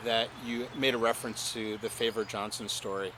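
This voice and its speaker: A middle-aged man hosts a friendly interview, talking in an animated, chatty way and laughing often. His voice sits at an ordinary pitch, with faint room noise behind it. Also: normal speed. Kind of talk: casual interview.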